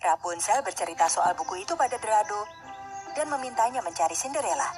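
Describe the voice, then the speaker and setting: A woman's voice: medium pitch, animated, a little fast, darkish tone. A woman narrating a scripted fairy tale for children. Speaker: storyteller, narrator. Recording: music under the voice. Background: music.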